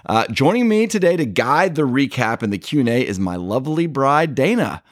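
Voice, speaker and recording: A man's voice: medium pitch, sing-song, normal speed, no room sound, clean studio sound. A man hosting a casual podcast, talking in a loose, rambling way with fillers and restarts. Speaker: podcast host.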